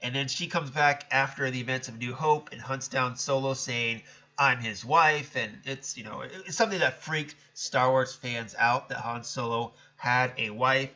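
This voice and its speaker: A man's voice: mid-range voice, steady tone, measured pace, sharp tone, highs cut off. A man hosting a casual solo commentary show, talking in a chatty, rambling way with a stuffed-up, nasal voice. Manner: chatty, rambling, self-correcting. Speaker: podcast host.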